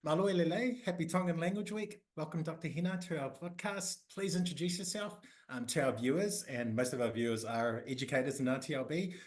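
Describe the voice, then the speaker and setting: A man's voice: fairly high pitch, brisk, hardly any pauses. A man co-hosting a podcast, welcoming a guest with upbeat greetings and many fillers. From New Zealand. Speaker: co-host.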